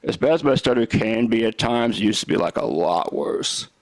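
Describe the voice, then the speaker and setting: A young man doing live stand-up with a stutter, talking slowly and haltingly with deadpan one-liners. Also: lowish voice, no echo. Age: young adult. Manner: slow, halting delivery with restarts. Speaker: stand-up comedian. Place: comedy club stage.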